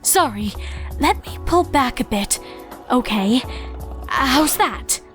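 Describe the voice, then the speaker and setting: Confident younger-sister pony princess, acted by a woman: coy, formal, easily irritated, unhurried, for comic fan fiction. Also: high voice, expressive, a bit bright, faint music behind, heavily acted. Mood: encouraging. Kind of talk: comedic fan fiction voice acting.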